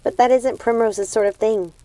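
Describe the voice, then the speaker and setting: A woman as a calm, reasoning young witch sister. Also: a little high, normal speed, no room sound, pitched above the performer's own voice, lightly acted. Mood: wistful. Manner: reassuring, reasoning.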